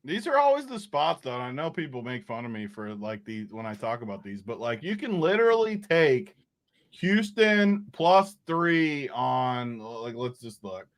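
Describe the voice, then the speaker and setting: A man's voice: medium pitch, very animated, a little slow. A man co-hosting a sports betting show, chatting casually and laughing often.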